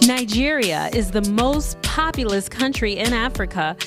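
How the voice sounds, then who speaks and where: A woman's voice: a little high, expressive, moderate pace. Woman narrating over a music bed. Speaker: host and narrator. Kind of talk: scripted narration.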